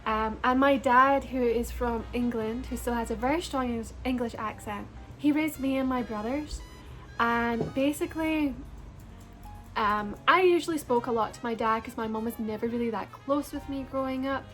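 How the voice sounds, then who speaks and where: High-voiced soft Scottish woman, chatty. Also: moderate pace, soft background music, rough recording. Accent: soft Scottish, partly Americanized. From Scotland. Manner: chatty, frequent likes and ums, laughs between words. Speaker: vlogger. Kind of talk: casual personal storytime.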